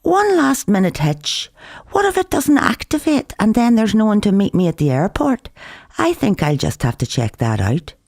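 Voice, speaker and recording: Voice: mid-range voice, wildly swooping pitch, moderate pace, vocal fry, a little mellow, no echo, studio quality, voice pushed up, exaggerated voice. A narrator as a brash, defiant young Irishwoman. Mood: sardonic. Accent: Northern Irish. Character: defiant, sardonic young Irish woman bomb-carrier.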